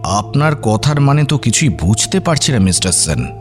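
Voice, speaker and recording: A man's voice: mid-range voice, very animated, moderate pace, faint music behind, natural voice. A man playing an affable local police officer in an audio drama, talking in a genial, conversational way. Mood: puzzled. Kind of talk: Bengali radio drama acting.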